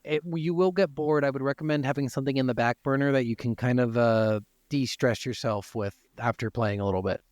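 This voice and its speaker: A man's voice: medium pitch, steady tone, a little fast, few pauses, a little mellow, no echo, clean studio sound. An American man co-hosting a podcast, talking casually and bluntly about video games. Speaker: podcast co-host. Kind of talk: casual gaming chat.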